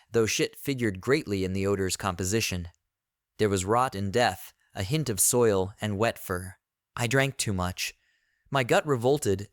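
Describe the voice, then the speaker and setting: A man reading a scripted first-person horror story in a deliberate, literary storytelling manner. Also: fairly low pitch, clear, resonant tone, no room sound, clean studio sound. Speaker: narrator of horror stories.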